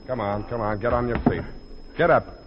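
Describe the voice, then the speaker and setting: An actor playing a tough, wisecracking American private detective in sardonic 1940s radio style. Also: male voice, medium pitch, moderate pace, heavy background noise, close to an everyday voice. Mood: tough, commanding. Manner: sardonic, clipped, flowery narration. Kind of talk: 1940s radio acting and narration. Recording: muffled old radio recording.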